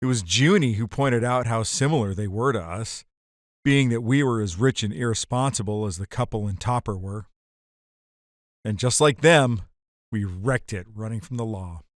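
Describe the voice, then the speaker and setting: A man's voice: lowish voice, moderate pace, no room sound, studio quality, lightly acted. Man acting a terse, wry hardboiled noir gangster. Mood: wry, reflective. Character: hardboiled male ex-bootlegger narrating his own caper. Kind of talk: noir audio drama narration.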